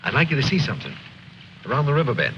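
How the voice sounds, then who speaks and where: A man's voice: medium pitch, very animated, fast talker, close to an everyday voice. An actor playing an earnest soldier reporting to his officer, respectful but insistent, in 1950s war film style. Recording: dull old film soundtrack, faint noise.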